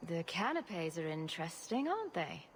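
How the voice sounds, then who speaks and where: Sarcastic game rogue; lowish, animated voice, dry wit, faint noise behind. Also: female voice, moderate pace. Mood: wry. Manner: dry, joking. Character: wry, sarcastic young adult heroine, confident rogue.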